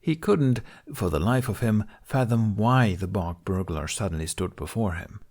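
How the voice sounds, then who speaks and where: A man's voice: fairly low pitch, animated, normal speed, gravelly, a little mellow. A man reading a story aloud as an audiobook narrator in a scripted, storytelling style.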